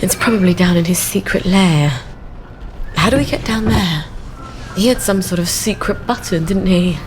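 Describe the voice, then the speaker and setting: A woman's voice: fairly low pitch, normal speed, slight background noise, lightly acted. Woman voicing a wry young Englishwoman. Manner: dry, wry asides. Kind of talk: video game dialogue. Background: game music.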